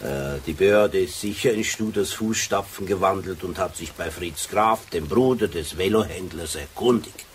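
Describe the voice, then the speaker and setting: An actor playing a shrewd, grumbling old police sergeant in a radio drama, gruff and wry. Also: male voice, low-pitched, moderate pace, hardly any pauses, natural voice. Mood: wry, probing. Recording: faintly noisy radio drama recording.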